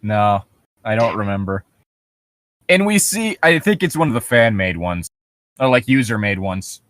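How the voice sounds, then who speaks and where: Animated, foul-mouthed man, close dry sound. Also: on the low side, moderate pace, dry recording. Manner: profane, incredulous nitpicking. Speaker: podcast co-host reading and commenting on a horror story. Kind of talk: casual comedic commentary.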